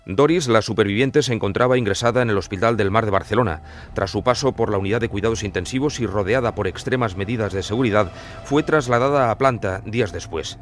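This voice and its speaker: A male journalist giving scripted true-crime narration in a measured, formal storytelling manner. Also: on the low side.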